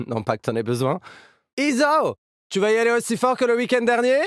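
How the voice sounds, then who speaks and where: A man's voice: very high-pitched, wildly swooping pitch, brisk, dry recording, clean studio sound, full character voice. A man playing an upbeat, cocky young musician fighter in a video game, with casual, playful team banter. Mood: playful, confident. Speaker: video game agent character. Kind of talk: game character voice lines.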